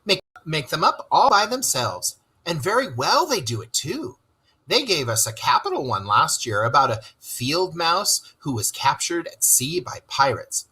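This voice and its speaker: A man voicing an eager, excitable, warm-hearted mole for a storybook read-aloud. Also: on the high side, unhurried, husky, pitched above the performer's own voice, exaggerated voice, poor audio. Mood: enthusiastic.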